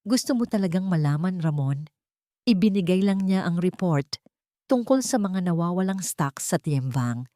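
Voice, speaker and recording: A betrayed wife in an audio story , played by a woman narrator. She is determined and guarded, speaking pointedly in a lowish, dry-recorded voice. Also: lively intonation, moderate pace, mellow, dry recording, natural voice. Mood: guarded, defiant. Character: betrayed, determined wife hiding her anger.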